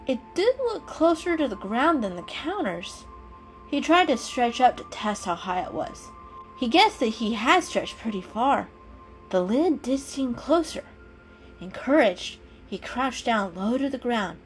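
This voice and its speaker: A woman reads a children's story as a dramatic storyteller. Her voice is fairly high and very expressive, swinging up and down over faint music. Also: poor audio. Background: soft music.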